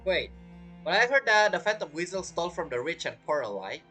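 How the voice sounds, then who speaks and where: Gamer chatting to themself, kinda rambly, lively bright voice, game music under. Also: medium pitch, brisk, poor audio. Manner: hesitant, rambling, self-talking. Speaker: streamer playing a video game. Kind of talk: casual gameplay commentary.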